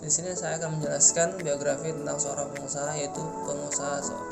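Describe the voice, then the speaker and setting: A young man, a student reading a prepared presentation aloud in a halting way, stumbling over and repeating words. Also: fairly high pitch, level tone, creaky. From Indonesia. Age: young adult. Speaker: university student giving a presentation. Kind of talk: scripted narration read aloud. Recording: music playing behind the voice.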